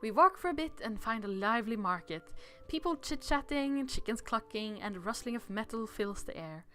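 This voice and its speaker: Casting: woman streamer, slightly high voice, playful casual game chat, music softly behind. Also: lively intonation, normal speed. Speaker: livestream game player. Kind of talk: casual playthrough chat and reading aloud.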